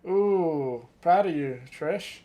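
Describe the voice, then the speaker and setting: A man's voice: fairly high pitch, expressive, drawn-out words, background noise. A man chatting casually as a livestream host while he plays a game, effusive and affectionate toward his viewers.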